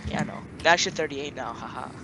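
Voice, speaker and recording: A young man with a slightly high voice hosts a gaming video. He is from Romania and talks casually to viewers and friends as he plays. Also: quick pace, sharp tone. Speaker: gaming channel host. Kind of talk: casual game commentary.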